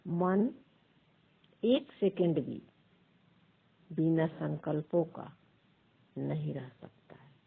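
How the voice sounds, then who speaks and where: Very slow, husky woman guiding meditation. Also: deep voice. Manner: measured, pausing between short phrases. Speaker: meditation teacher. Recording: thin phone-like sound.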